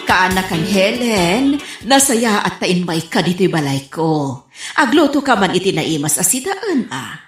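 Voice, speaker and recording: A woman acting in a comedy radio drama as an excitable, exclaiming village woman. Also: lowish voice, measured pace, over music. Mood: playful, bossy. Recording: roomy, echoing sound.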